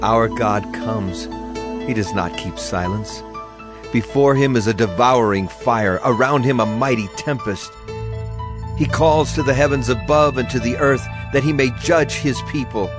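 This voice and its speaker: A man's voice: medium pitch, unhurried. A man giving a solemn, declamatory dramatized reading of psalms as a scripture narrator. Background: music.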